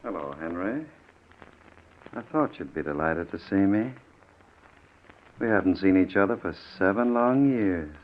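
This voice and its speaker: An actor playing a bitter, vengeful grey-haired ex-convict, sardonic and menacing, in 1940s radio drama style. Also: male voice, low-pitched, animated, unhurried, pauses often, slight background noise. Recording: muffled, narrow-band 1940s radio recording.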